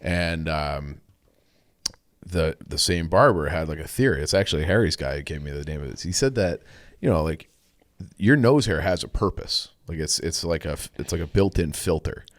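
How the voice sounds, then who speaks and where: A young man with a deep, low voice, chatting casually on a podcast. He tells rambling stories in a laid-back way, recorded close and dry in a studio. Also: moderate pace, no echo. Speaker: podcast co-host. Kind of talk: casual chat.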